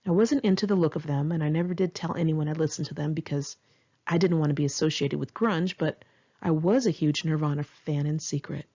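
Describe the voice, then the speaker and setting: A woman's voice: low-pitched, fairly quick, mellow, lo-fi sound. A woman narrating her own memoir as an audiobook, in a candid, conversational and wry way. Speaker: author reading own memoir.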